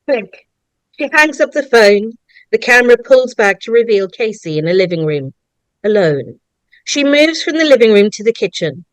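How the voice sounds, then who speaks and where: A woman's voice: fairly high pitch, big pitch swings, normal speed, no room sound. Dry-sounding woman narrating a script. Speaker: narrator reading stage directions. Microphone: video call microphone.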